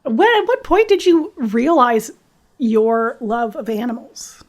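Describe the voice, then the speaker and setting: Attentive higher-voiced woman host. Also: takes it slowly. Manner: attentive, frequent "mm-hmm", laughs along. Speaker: podcast host. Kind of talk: casual interview chat.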